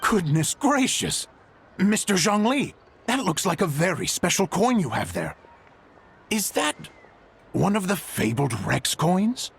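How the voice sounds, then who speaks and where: A man's voice: high-pitched, big pitch swings, measured pace, gravelly, a bit bright, slight background noise, full character voice. An actor playing an eager, courteous man in a video game, with an effusive, earnest and polite way of talking. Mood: amazed. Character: eager, courteous merchant man who admires lucky coins. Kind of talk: anime-style video game voice acting.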